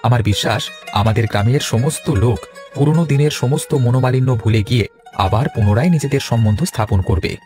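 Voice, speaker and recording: A man's voice: medium pitch, quick pace, a little mellow, natural voice. A man playing an agreeable village husband in a cartoon, homely, hopeful and emphatic. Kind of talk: cartoon voice acting. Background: instrumental music.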